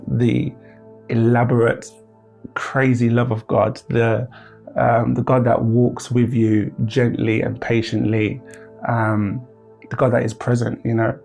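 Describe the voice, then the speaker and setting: A man's voice: medium pitch, creaky, a little mellow. A man reflecting hesitantly on his upbringing, with many fillers and restarts. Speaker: discussion participant. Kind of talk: reflective personal testimony.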